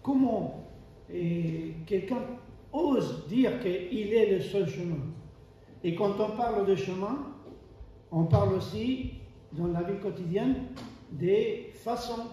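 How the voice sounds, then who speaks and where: A man's voice: high-pitched, slow, dark tone, a little hiss or hum. A man from Argentina with an Argentine Spanish accent, Spanish as his first language, preaching a sermon in an emphatic way, repeating key phrases and asking rhetorical questions. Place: church hall. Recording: echoey church hall, mic far off.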